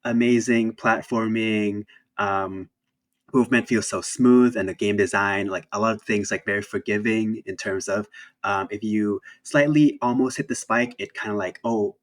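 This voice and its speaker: A man's voice: lowish voice, steady tone, moderate pace. A young man from Thailand, an indie game developer, chatting casually as an interview guest, with many fillers and restarts. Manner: hesitant, many fillers and restarts, laughs easily.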